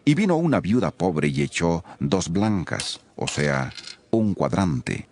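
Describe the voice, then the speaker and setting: Low-voiced male narrator with lively intonation. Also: slow pace, a little hiss or hum. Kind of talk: scripted scripture narration.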